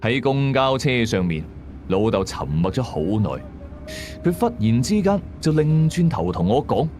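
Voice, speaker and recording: A man's voice: mid-range voice, sing-song, measured pace, a little mellow, faint music behind. A man with a Hong Kong Cantonese accent narrating a first-person horror story as a storyteller.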